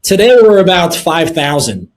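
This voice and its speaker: Middle-aged man, guest in an interview, measured delivery, frequent fillers, voice sits a bit high. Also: big pitch swings. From Lebanon. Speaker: guest, precious metals dealer. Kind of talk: interview answers.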